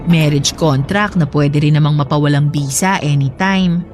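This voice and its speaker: A woman's voice: low-pitched, moderate pace, darkish tone, natural voice. Woman acting a practical girlfriend in romantic drama. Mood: firm, defensive. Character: contented adult girlfriend, practical, unconvinced about marriage. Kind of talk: Filipino audio love-drama acting. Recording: music bed behind the voice.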